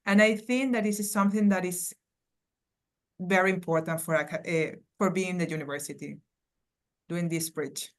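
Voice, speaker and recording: A woman's voice: fairly low pitch, steady tone, moderate pace, long pauses. Earnest Venezuelan-accented woman. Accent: Venezuelan Spanish. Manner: earnest, searching for words. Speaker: guest speaker, academic. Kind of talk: panel discussion answer.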